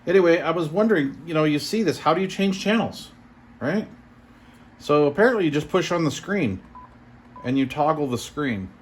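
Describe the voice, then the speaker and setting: Impressed man, noisy home-video sound. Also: fairly high pitch. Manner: impressed, mild exclamations, thinking aloud. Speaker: product reviewer. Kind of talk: casual unboxing commentary. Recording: clear background noise and room ambience.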